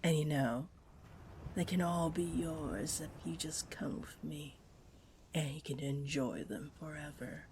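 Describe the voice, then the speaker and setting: Woman voicing a slow, low, coaxing possessive mermaid. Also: a little mellow, slight background noise. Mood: coaxing, flirty. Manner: coaxing, slow, breathy half-whispers. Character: flirty, possessive, greedy female mermaid luring a pirate. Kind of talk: intimate roleplay audio drama. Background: wave ambience.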